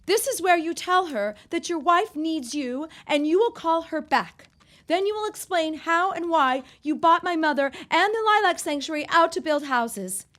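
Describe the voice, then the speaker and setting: An actress playing an indignant, wronged young 1910s wife in an audio drama, insistent, formal and confrontational. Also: female voice, extremely high pitch, expressive, moderate pace, fairly bright tone. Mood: indignant, accusing. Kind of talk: period audio drama acting.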